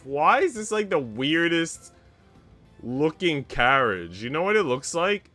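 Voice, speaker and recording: A man's voice: fairly high pitch, sing-song, a little slow, sharp tone, soft background music. A man streaming a visual novel, reading it aloud and breaking off for informal, slangy comments. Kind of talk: visual novel read-aloud with casual asides.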